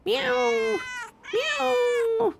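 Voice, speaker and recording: A woman's voice: a little high, sing-song, takes it slowly, creaky, background noise, pitched above the performer's own voice, full character voice. A woman voicing a hungry, vexed male cat who sighs and mews plaintively outside a shop. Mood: plaintive mewing. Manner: sighing, mewing plaintively.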